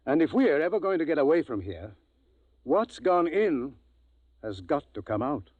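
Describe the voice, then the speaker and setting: Earnest ship's doctor, animated delivery. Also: male voice, fairly high pitch, moderate pace, phone-quality sound. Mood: pondering. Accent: American. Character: earnest, speculative male spaceship doctor, easily astonished. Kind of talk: 1950s American radio drama acting.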